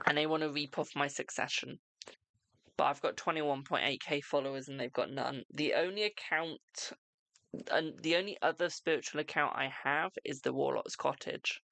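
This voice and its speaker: Creaky-voiced British tarot reader, chatty. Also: mid-range voice, fairly even intonation, fairly bright tone, no room sound. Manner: chatty, answers viewer questions briskly, calls them "my darling". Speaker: tarot card reader and live-stream host. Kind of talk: casual live-stream chat and card readings.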